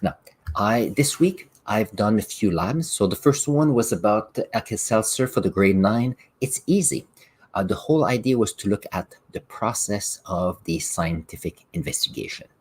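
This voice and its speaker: Low, husky-voiced man hosting a podcast. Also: expressive, moderate pace. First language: French. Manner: reflective, explains with examples, occasional restarts. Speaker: podcast host and science teacher. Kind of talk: solo podcast talk.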